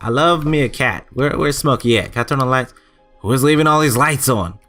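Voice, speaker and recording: A man's voice: mid-range voice, fairly quick, a bit bright, faint music behind. A man giving casual, chatty let's play commentary and reading game text aloud, stumbling now and then. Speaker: gaming channel host.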